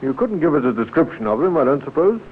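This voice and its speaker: An actor playing a brisk, methodical detective inspector in a 1950s-style radio drama, speaking in a measured, businesslike, understated way. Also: male voice, a little high, big pitch swings, husky. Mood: probing, methodical. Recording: tinny, muffled vintage radio recording.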